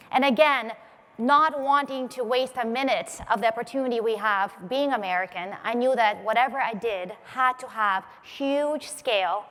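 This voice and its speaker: A woman from Ukraine who grew up in Israel, a non-native English speaker, giving a founder's conference pitch built on personal storytelling. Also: fairly bright tone. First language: not English. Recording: reverberant hall.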